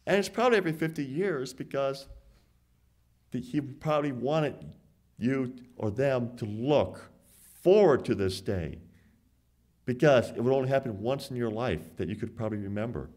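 A man's voice: medium pitch, animated, darkish tone. Earnest man preaching at a lectern. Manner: earnest, emphatic, slows down to read scripture aloud. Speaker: church speaker. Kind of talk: sermonette with scripture reading. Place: church hall, standing at a lectern. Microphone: lectern microphone.

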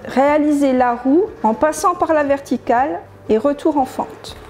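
A woman in her thirties, a gym coach, giving clear step-by-step exercise instructions in a living room. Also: sits high, moderate pace, darkish tone, faint music behind.

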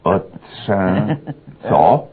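A man's voice: sits low, animated, drawn-out words, breathy edge, exaggerated voice. An actor playing a pompous, cowardly male newspaper columnist in a radio comedy, stammering and pleading as he talks. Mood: anxious pleading. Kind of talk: satirical radio comedy acting. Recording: muffled, phone-like old radio recording.

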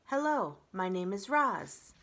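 A woman voicing a gentle, literal-minded robot with formal, matter-of-fact speech in a children's book read-aloud. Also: medium pitch, sing-song, takes it slowly, exaggerated voice. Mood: calm, earnest.

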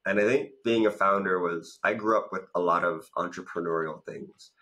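Low, bright-voiced man telling stories. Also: normal speed. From Thailand. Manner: talkative, storytelling with many "like" fillers. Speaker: guest, business coach and founder. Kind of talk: casual interview. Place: home room with bookshelves. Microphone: studio mic in front, video call.

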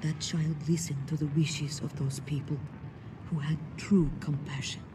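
A woman's voice: low-pitched, flat delivery, a little slow, noisy background. A woman playing a solemn, devoted young villainess, a cult servant in a video game. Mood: solemn, reciting. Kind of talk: dramatic video game cutscene acting.

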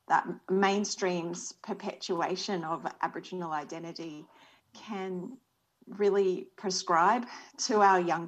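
A woman's voice: lowish voice, highs cut off. An Australian woman in her forties speaking earnestly and thoughtfully as a panel guest over a video call from a small room. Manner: earnest, thoughtful, soft-spoken. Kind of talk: reflective panel talk. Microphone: video call webcam.

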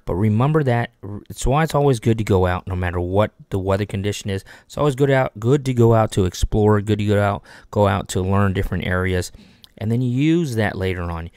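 A man in his thirties from Texas, hosting a fishing channel, giving animated, repetitive tips to camera from a small home studio on a desk microphone. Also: fairly low pitch, a little fast, dry recording. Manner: animated, repetitive coaching with lots of hand gestures. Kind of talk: instructional video talk to camera. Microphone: desk microphone on boom arm.

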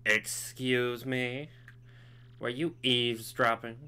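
A man voicing a sweet, polite young princess in a game, raising his voice softly. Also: medium pitch, little pitch movement, slow, clean tone, pitched above the performer's own voice. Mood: gentle.

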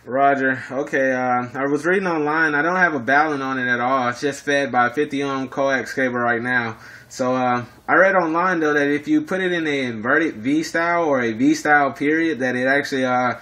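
A man's voice: mid-range voice, sharp tone. A man in casual two-way radio chat, speaking in operator jargon and often repeating himself. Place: indoors in an apartment.

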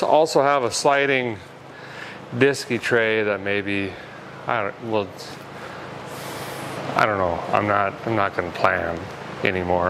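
A man in his thirties talking casually as he works, with a folksy, deadpan, rambling humor, as host of a garage repair vlog. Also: fairly low pitch. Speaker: host of a car repair show. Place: large auto repair garage with concrete floor. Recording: clear background noise, garage ambience.

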